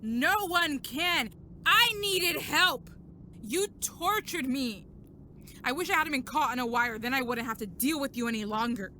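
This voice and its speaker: High female voice, wounded rebellious young agent, sarcastic, raw emotion, audio drama, clear background noise. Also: animated, unhurried, sharp tone, full character voice. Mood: bitter, defiant. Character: defiant, wounded young female agent, daughter of a cold commander. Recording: clear ambient noise behind voice.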